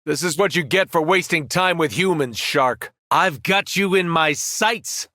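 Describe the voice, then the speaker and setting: An actor playing a powerful, cruel superhero turned villain in a video game, arrogant and taunting. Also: male voice, on the high side, unhurried, pushed and bright, dry recording, clean studio sound. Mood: cold threat. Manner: taunting, menacing, condescending.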